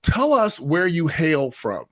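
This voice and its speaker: Male podcast host, fifties, emphatic and colloquial, voice a bit on the high side, casual hype delivery. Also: wildly swooping pitch, takes it slowly, fairly bright tone, no echo. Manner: emphatic, colloquial, repeats phrases for effect. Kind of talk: upbeat podcast intro and casual interview.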